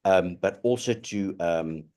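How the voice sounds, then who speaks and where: Low-voiced man, halting. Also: mellow, a little hiss or hum. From South Africa. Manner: halting, many restarts and affirming murmurs. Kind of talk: casual group discussion.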